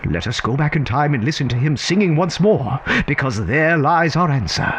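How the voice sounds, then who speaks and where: An actor playing a whimsical, confiding storyteller, narrating a scripted audio drama with halting, theatrical pauses. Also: male voice, on the high side, lively intonation, heavy background noise, natural voice.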